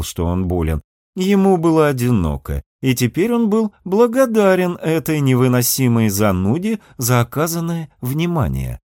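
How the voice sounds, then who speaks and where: A man's voice: mid-range voice, darkish tone, dry recording, clean studio sound. Male narrator with widely swinging intonation. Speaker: audiobook narrator. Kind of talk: expressive literary narration with character dialogue.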